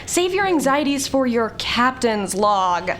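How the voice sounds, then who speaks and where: A woman playing a snarky, confident space scientist in an audio drama, brisk and easily exasperated. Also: on the high side, sing-song, fairly bright tone, slight background noise. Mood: exasperated, bossy. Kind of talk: scripted audio drama acting.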